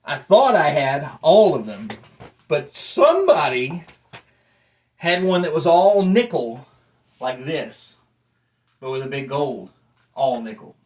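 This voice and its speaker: A man's voice: on the high side, sing-song, slow, pauses often. A man talking casually to camera in a rambling review, with a blunt, self-deprecating manner. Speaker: vlogger and wrestling belt collector reviewing a belt.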